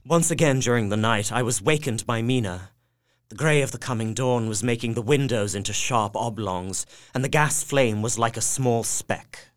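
A man's voice: mid-range voice, normal speed, natural voice. An actor playing a devoted, anxious young husband narrating his journal in an audio drama, earnest and heartfelt.

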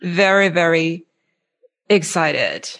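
A woman hosting a casual interview, speaking haltingly and often repeating words as she builds her sentences. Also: fairly low pitch, animated, fairly bright tone. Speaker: interviewer, host.

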